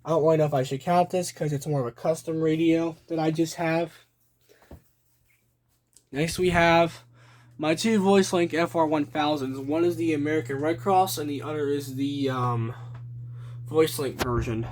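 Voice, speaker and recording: A young adult man giving a casual show-and-tell narration on a phone at home, listing items deliberately in short phrases. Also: on the high side, fairly even intonation, a little mellow. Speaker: hobby collector presenting a video. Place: small room at home. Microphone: handheld phone behind the camera. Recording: noisy handheld home video with clicking.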